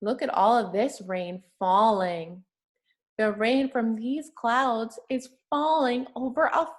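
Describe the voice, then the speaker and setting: A woman reading aloud to young children like a teacher, using simple, patient, encouraging language and asking questions as she goes. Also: fairly high pitch. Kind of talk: interactive children's read-aloud with questions and praise.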